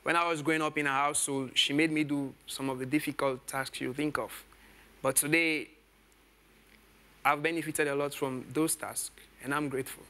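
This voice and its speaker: A man's voice: fairly high pitch, fairly even intonation, moderate pace, pushed and bright. A man, a quiz contestant, speaking sincerely and reflectively.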